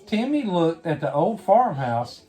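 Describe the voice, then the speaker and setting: A woman reading a picture book aloud to a young child, pausing to ask simple questions. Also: fairly low pitch, big pitch swings, warm tone. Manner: simple questions and prompts addressed to a small child. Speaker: bedtime story reader.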